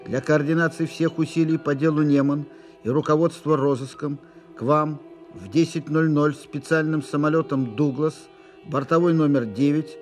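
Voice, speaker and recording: An actor as a wartime official reading urgent military documents in a radio play. The man's voice is somewhat high, with formal, clipped, emphatic delivery. Light music runs behind the words. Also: a little mellow, lightly acted. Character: official male reader of urgent military dispatches.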